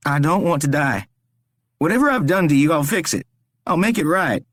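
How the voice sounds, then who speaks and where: A man voicing a terrified young man who is tied up and desperately pleading for his life, his voice strained and high. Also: animated, normal speed, fairly bright tone, dry recording, studio quality.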